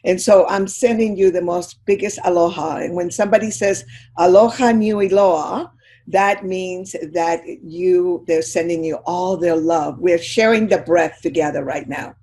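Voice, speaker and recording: A woman's voice: lowish voice, measured pace, warm tone. An older woman from Chile, a guest speaker giving a heartfelt, reflective talk on a video call.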